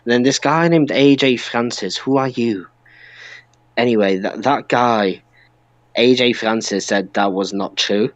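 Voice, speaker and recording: A man's voice: mid-range voice, a little slow, no echo, lo-fi sound. A man co-hosting a podcast, talking casually and informally about wrestling with other hosts. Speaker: podcast co-host.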